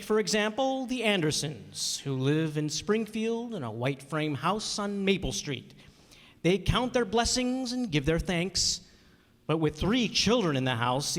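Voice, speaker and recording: A polished 1950s radio announcer, performed by a man reading with sweeping, declamatory flair. His voice sits high for a man. The sound rings out into a long hall reverb. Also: big pitch swings, unhurried, fairly bright tone.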